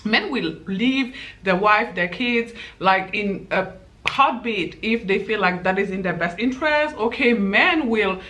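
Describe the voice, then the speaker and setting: A woman's voice: medium pitch, expressive, unhurried, bright, forceful tone. A young woman with a Nigerian accent, giving impassioned, pleading commentary to camera as a vlogger.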